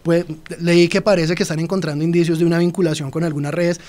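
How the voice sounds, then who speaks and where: Hombre colombiano que habla rápido. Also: male voice, fairly high pitch, level tone, fast, darkish tone. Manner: explanatory, addresses listeners directly. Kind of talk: radio interview.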